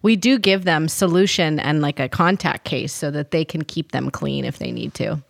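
Lower-pitched American woman with vocal fry. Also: moderate pace, no echo, clean studio sound. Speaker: podcast co-host and show producer. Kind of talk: casual podcast talk.

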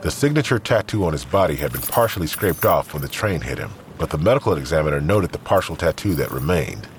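A man's voice: rumbling low, very animated, fast talker, a little hiss or hum. A man narrating a scripted crime audio drama in the role of storyteller.

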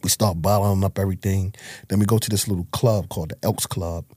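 A man with a New York accent talks in a low, husky voice, close and dry on the mic. He tells his story in a loose, streetwise way with plenty of swearing, and he acts out the people he quotes. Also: steady tone, fast, dark tone, no room sound. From Harlem, New York. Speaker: guest telling his life story. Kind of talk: casual storytelling interview.

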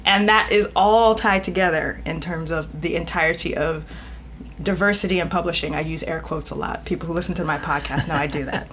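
Low-voiced woman, frank podcast guest. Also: a little fast, a bit bright, slight background noise. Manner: frank, talkative, trails off and restarts mid-thought. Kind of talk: casual podcast conversation.

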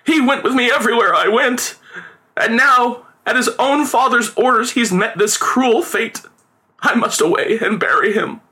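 A man doing a high, theatrical aging street conjurer. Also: lively intonation, fairly quick, pushed and bright. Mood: anguished wailing. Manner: melodramatic, pleading, complaining. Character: theatrical aging male street conjurer, wily, lamenting father. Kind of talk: storybook character voice.

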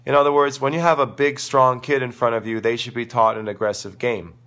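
A man's voice: mid-range voice, little pitch movement, a little fast, pushed and bright. A man with a crackly voice worn by a sore throat, talking casually and emphatically as a tennis coach answering viewers on a live stream. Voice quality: crackly, gravelly. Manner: emphatic, opinionated, informal. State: losing his voice, sore throat. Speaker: tennis coach hosting a live online show.

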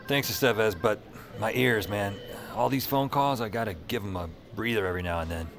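An actor playing a wry, world-weary hotline worker, delivering slangy deadpan lines and narration in an audio drama. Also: male voice, fairly low pitch, a little fast, music in the background, lightly acted. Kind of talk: audio drama dialogue and first-person narration. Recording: noisy ambience behind the voice.

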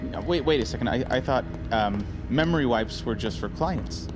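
Man acting as curious institute employee, medium pitch, earnest, hesitant, eager, audio drama. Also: expressive, moderate pace, music in the background, natural voice. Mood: uneasy questioning. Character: awestruck, earnest, inquisitive male institute employee.

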